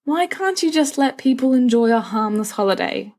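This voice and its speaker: A woman's voice: high voice, lively intonation, normal speed, darkish tone, pitched above the performer's own voice. A woman voicing a sensible teenage schoolboy in a story, dry, weary and reproving. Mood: wary, weary.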